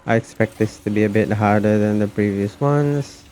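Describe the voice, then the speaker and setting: A man giving casual, somewhat halting gameplay commentary as he streams. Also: on the low side, darkish tone, faint music behind. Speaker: game streamer. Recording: faint background noise.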